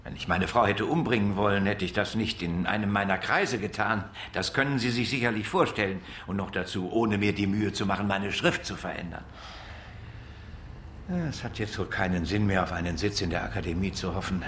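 Resigned, evasive academic suspect, a man acting, lowish and halting, roomy radio-drama recording. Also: fairly bright tone, close to an everyday voice. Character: evasive, resigned male professor under murder suspicion. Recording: roomy echo with faint noise.

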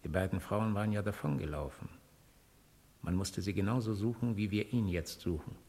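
A man's voice: on the low side, normal speed, dark tone, lightly acted. A man acting a stern, coldly pedantic Roman soldier in a 1950s German radio drama, clipped and matter-of-fact. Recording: reverberant 1950s radio studio recording.

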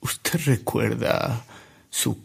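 An actor playing a man who recounts anecdotes in a radio drama, with an unhurried, theatrical delivery. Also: mid-range voice, fairly even intonation, creaky, heavily acted. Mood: reverent storytelling. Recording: music bed under the voice.

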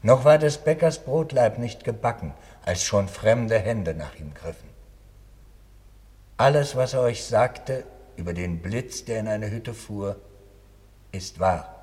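A conquered king bearing grave witness. His voice sits fairly low and moves very slowly, each phrase solemnly weighed. It is radio drama, with a long hall echo around the words. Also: expressive, a little mellow. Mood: grave, bitter. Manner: solemn, measured. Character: defeated captive king, grave and sorrowful witness. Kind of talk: German radio play, verse delivery.

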